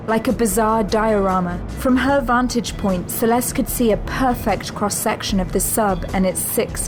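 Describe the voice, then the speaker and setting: A woman delivering scripted dramatic narration as the narrator of an audio drama. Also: medium pitch. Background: music.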